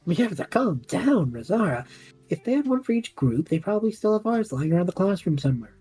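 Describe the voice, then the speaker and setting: A woman's voice: on the low side, very animated, brisk, dark tone, breathy edge, full character voice. A woman voicing a cocky, careless male student in an audio drama, casual and flippant. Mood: scheming.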